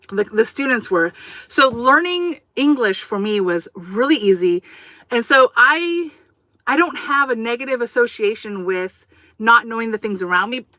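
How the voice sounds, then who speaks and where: Bright-voiced woman, first language Japanese. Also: on the high side, no echo. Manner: rambling, self-correcting, conversational. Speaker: livestream host. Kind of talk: casual livestream chat, reacting and reading comments. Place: inside her RV. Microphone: camera on a tripod.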